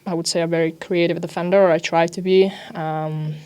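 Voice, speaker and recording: Young Italian woman, lowish voice. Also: level tone, fairly quick, darkish tone, clean tone. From Italy. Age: young adult. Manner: informal, peppered with "you know" and "like". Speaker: ice hockey defender. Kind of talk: casual interview answers.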